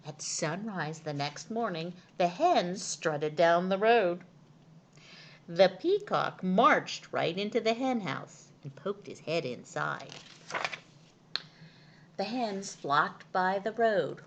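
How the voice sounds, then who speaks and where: A woman reading a children's storybook aloud as an expressive narrator. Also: fairly low pitch, highs cut off. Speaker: storytime reader.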